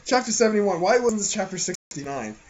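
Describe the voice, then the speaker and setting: Giggly man reading aloud, lively voice. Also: high-pitched, fairly quick, muffled recording, a little hiss or hum. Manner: giggly, keeps breaking into laughter while reading. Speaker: co-host. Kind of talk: reading a childhood fan fiction aloud, joking.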